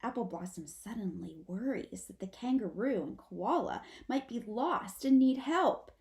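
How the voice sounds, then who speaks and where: A woman narrating a children's story aloud in an expressive read-aloud style. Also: a little high, unhurried, vocal fry. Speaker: storybook reader. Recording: roomy, echoing sound.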